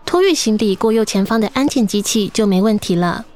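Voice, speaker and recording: An actress playing a polite, efficient airline check-in agent in a radio drama. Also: female voice, mid-range voice, animated, a little fast, a little mellow, natural voice. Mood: polite, businesslike.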